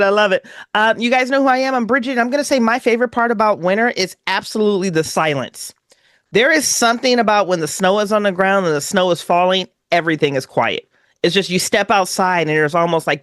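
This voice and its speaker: Woman host, effusive and upbeat, mid-pitched voice, dry close mic. Casual cast introductions. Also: dry recording. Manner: effusive, laughs often.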